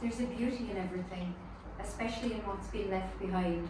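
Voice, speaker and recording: A woman playing a steady, hopeful rescuer in a screenplay table read, calm and earnest. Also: medium pitch, brisk, natural voice. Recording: distant, echoey room with clear noise.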